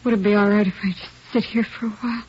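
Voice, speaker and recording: An actress playing a weary, grieving working woman in a 1950s radio drama, speaking slowly and haltingly. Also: medium pitch, fairly even intonation, husky, natural voice. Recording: muffled old radio recording.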